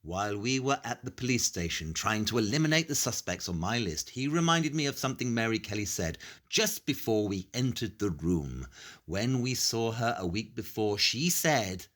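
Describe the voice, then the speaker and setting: A grave, low-voiced Victorian detective. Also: male voice, lively intonation, fairly bright tone. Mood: explaining, sombre. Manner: measured, deliberate, articulate exposition. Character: brilliant male Victorian detective, grave and analytical. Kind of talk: audio play acting, explanatory monologue.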